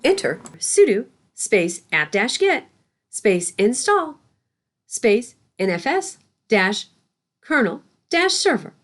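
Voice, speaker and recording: A woman's voice: on the high side, very animated, slow. A woman instructor reading a scripted tutorial in a careful, instructional way.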